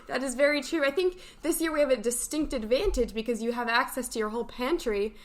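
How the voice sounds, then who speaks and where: A woman with a high voice hosts a casual morning show. She reads out announcements in an upbeat way, with a playful, chatty touch. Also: fast. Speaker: camp morning show host.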